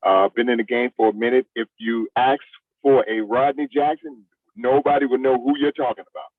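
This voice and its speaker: A man's voice: mid-range voice, steady tone, a little fast, no room sound, far from the mic, narrow, tinny audio. A middle-aged American man chatting casually as an interview guest, in a colloquial, conversational way. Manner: colloquial, addresses listener as "man".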